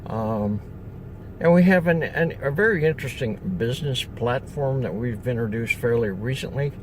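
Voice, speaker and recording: A man's voice: mid-range voice, moderate pace, a little hiss or hum. An older man from upstate New York, introducing himself as a solar salesman in a rambling, chatty way, full of fillers and restarts. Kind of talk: casual self-introduction video.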